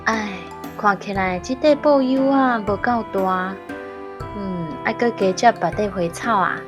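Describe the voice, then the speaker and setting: A woman's voice: medium pitch, unhurried, dull audio, lightly acted. A woman playing a caring, hardworking mother in a radio drama, speaking expressively with a Taiwanese accent. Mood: fond, musing. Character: caring, hardworking mother who sews for her daughters. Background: background music.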